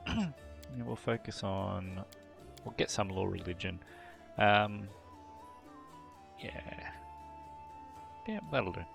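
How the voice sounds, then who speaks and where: A man hosting a game video, thinking aloud through character choices with frequent fillers and throat clearing. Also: on the low side, a bit bright. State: voice a bit worn from a long previous session. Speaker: gameplay channel host. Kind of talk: casual gameplay commentary.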